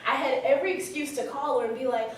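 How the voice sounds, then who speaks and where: Woman acting high-voiced young storyteller in echoey hall. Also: fast talker, lightly acted. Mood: candid, excited. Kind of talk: staged reading monologue, conversational storytelling. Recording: very echoey hall, distant mic, faint noise.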